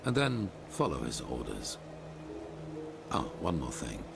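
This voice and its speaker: An actor playing a solemn, imperious older leader of a religious order in a fantasy game, speaking in a measured, formal way. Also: male voice, a bass voice, wildly swooping pitch, vocal fry, heavily acted. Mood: stern, dismissive. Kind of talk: scripted fantasy video game dialogue. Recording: loud music behind.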